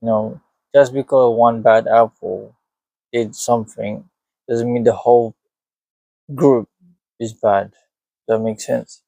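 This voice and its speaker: Gravelly man talking in halting bursts. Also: mid-range voice, level tone, mellow, no echo, rough recording. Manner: halting, many fillers.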